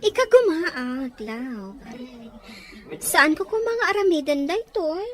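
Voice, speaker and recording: A sweet-talking young schemer in an Ilocano radio drama. Her high voice swoops expressively and carries an Ilocano accent. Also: sing-song, slow, exaggerated voice. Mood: sweet, coaxing.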